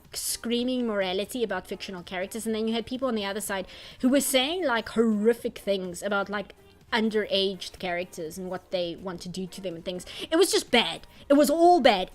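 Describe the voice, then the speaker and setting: Afrikaans-native woman with a South African accent; casual streamer, rambles and self-corrects, laughs a lot, pitched a bit high. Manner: rambling, restarts words, frequent laughs. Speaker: art streamer. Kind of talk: casual live-stream chat, reading chat aloud.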